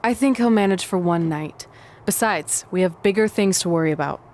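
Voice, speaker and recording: A woman's voice: on the low side, a little fast, darkish tone, a little hiss or hum, close to an everyday voice. A woman playing a confident, supportive young wrestler in video game cutscene dialogue. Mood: determined.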